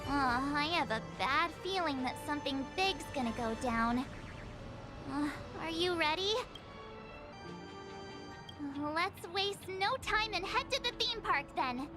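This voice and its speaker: High-voiced perky teenage girl adventurer. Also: a little slow. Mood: uneasy, eager. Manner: casual, chatty, expressive. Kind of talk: video game voice acting. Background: music.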